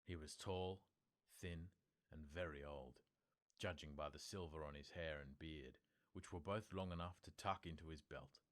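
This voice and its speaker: A man with a low-pitched voice narrates a story. He reads from a script in the manner of an audiobook narrator, telling the tale as prose. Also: moderate pace.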